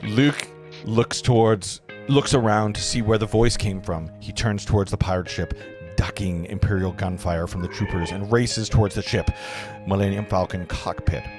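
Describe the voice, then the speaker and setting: A man's voice: lowish voice, steady tone, moderate pace. A man acting as narrator at a table read, reading screenplay stage directions aloud earnestly, with the odd stumble. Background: music.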